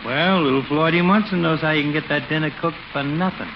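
An actor playing a nosy, slangy small-town barber with a sly, wheedling way, in 1940s radio sitcom style. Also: male voice, on the high side, fairly quick, lightly acted. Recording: noisy, muffled 1940s radio broadcast recording.

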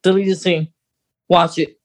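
A woman's voice: fairly low pitch, level tone, takes it slowly. Woman chatting as a podcast co-host. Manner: conversational, hedging, adds asides and details. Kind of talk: casual, detailed fan discussion.

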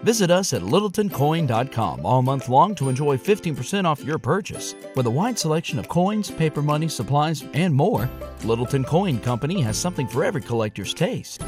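Lively male commercial announcer over music. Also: fairly high pitch, brisk. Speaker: advertisement voice-over. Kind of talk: scripted commercial read.

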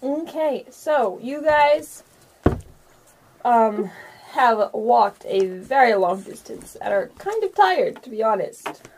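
A woman with a fairly high voice acts as game master in a casual, chatty style. She talks informally and pokes fun at herself. The recording has a little noise and some clicking. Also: expressive, slow, rough recording. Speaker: game master and podcast host. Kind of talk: casual tabletop game narration.

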